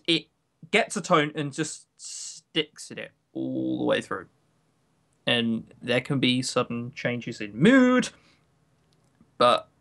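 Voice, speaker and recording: A man's voice: mid-range voice, gravelly, a bit bright. A man chatting casually as a podcast co-host, emphatic and enthusiastic, often joking. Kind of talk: casual podcast chat reviewing games.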